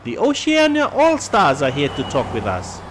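High-pitched upbeat youth coach. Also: male voice, wildly swooping pitch, moderate pace, fairly bright tone, some chatter behind, a little hiss or hum. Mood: encouraging. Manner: encouraging, instructive. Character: upbeat friendly male youth football coach. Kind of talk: animated motion comic acting.

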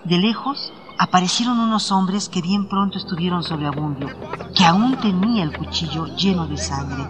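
A man's voice: high voice, moderate pace, dull audio, a crowd in the background. A man reading scripted, literary narration for a radio drama. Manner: literary storytelling. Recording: music under the narration.

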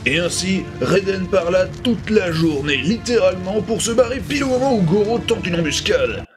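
A man's voice: high voice, animated, moderate pace. A man delivering sarcastic, crude, scripted comic narration as host of a retro video review. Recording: music behind the voice.

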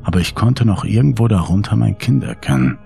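A man's voice: on the low side, big pitch swings, a little fast, creaky, warm tone, soft background music. A man reading a scripted first-person horror story in an audiobook style.

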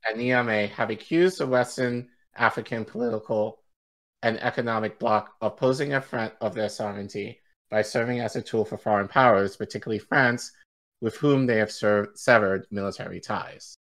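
Low-voiced man reading news on stream. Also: steady tone, normal speed, a bit bright. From Igbo-speaking background. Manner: stumbles over foreign names, laughs easily. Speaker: news show co-host. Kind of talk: reading news articles aloud and chatting. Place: home studio, indoors.

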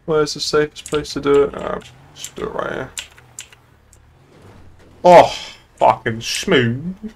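Gravelly young British man streaming. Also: a little high, slow pace, pauses often. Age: young adult. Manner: laddish, casual swearing. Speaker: game streamer. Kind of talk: casual gaming livestream chat. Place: indoor room at a streaming desk.

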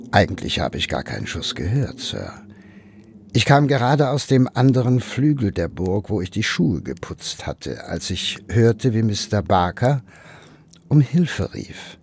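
A loyal male butler in an audio drama, polite and deferential. His voice is fairly low but moves expressively, hesitating as he goes. Faint ambience lies behind it. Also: big pitch swings, slow, vocal fry, darkish tone, slight background noise, heavily acted. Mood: hesitant. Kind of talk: German audio drama acting.

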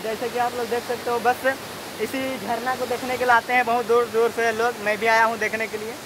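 A man's voice: extremely high pitch, little pitch movement, brisk. Chatty man vlogging beside a roaring waterfall. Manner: chatty, rambling, laughs often. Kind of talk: casual travel vlog talk. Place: outdoors by a waterfall. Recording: loud hiss of falling water.

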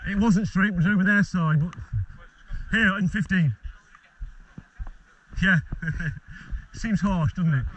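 Man, high bright voice, refereeing rugby, lively commands, distant body-cam audio with faint noise. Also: takes it slowly, long pauses. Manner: loud commanding calls, apologetic asides. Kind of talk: on-field refereeing calls. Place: outdoor rugby pitch. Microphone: body-worn camera mic.

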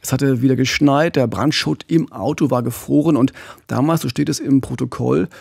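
A man's voice: mid-range voice, moderate pace, gravelly, darkish tone, husky, dry recording. A middle-aged man co-hosting a true-crime podcast, telling a case conversationally into a close studio microphone. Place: small indoor podcast studio. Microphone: close studio condenser microphone with pop filter.

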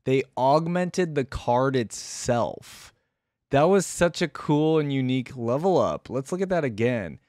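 A man's voice: mid-range voice, lively intonation, a little slow, a little mellow, dry recording. A young adult man gives casual, conversational game reaction commentary, thinking aloud into a close microphone. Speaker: reaction video host. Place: small indoor room at a desk. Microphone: close desk microphone with headset worn.

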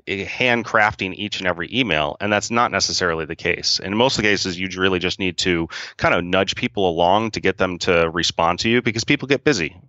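A deep-voiced man with a bright, forceful edge to his speech walks through his work in casual, explanatory answers, dropping in dry jokes. The sound is close and dry, a little dull, like a remote call recording. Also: a little fast, hardly any pauses, no echo. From upstate New York. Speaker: podcast guest, software founder.

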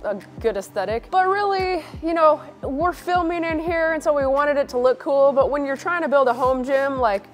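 Woman in her thirties, slightly high voice, relaxed practical DIY talk on a lapel mic, soft music behind. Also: moderate pace, hardly any pauses. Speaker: co-host. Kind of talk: casual gym tour. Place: indoor home gym.

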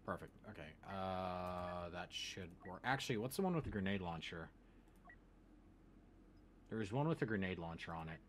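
Low-voiced man in animated gaming commentary. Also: moderate pace, pauses often. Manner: offhand, muttering to himself, playful sound effects. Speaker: gaming video host. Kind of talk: casual let's play commentary. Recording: game battle noise behind voice.

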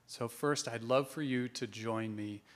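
A man hosting a graduation celebration, introducing the next speaker from a podium microphone in a friendly, slightly halting way. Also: mid-range voice. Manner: friendly, a bit halting with fillers. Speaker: event host introducing speakers. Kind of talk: ceremony emcee introduction. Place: banquet hall at a graduation celebration. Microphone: podium microphone, filmed from a far camera.

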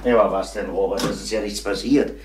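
Actor as calm, low-voiced police inspector. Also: male voice, echoey room, noisy background, lightly acted. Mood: reassuring. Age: middle-aged. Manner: measured, reassuring. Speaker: actor in a radio crime play. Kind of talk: German radio crime drama acting.

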